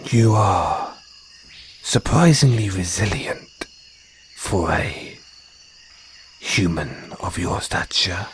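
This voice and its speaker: A man plays a male naga of the jungle, speaking deep and unhurried. He is formal and deliberate, a guarded creature with a tender, flirtatious streak. Insects chirp behind him in this intimate audio roleplay. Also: lively intonation, slight background noise, exaggerated voice. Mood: warm, flirtatious. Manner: slow, deliberate, formal phrasing with pauses. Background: crickets and jungle insect sounds.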